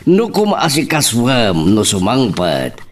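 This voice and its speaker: A man's voice: mid-range voice, huge pitch swings, slow, heavily acted. A man with an Ilocano accent playing a stern, nagging family man in an audio drama. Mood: scolding, agitated.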